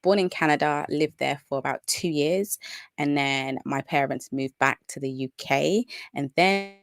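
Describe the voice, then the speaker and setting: A woman's voice: very deep voice, creaky, no echo. Upbeat British woman hosting a live stream. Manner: chatty, upbeat, greets viewers by name. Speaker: natural hair educator hosting a live Q&A. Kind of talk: live stream chat with viewers.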